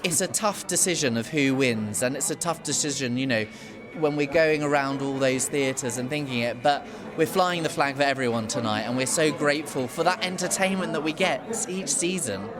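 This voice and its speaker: A man's voice: medium pitch, normal speed, music in the background. A man, an awards organiser, speaking effusively and with a lot of enthusiasm as an interview guest. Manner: effusive, gushing, lively.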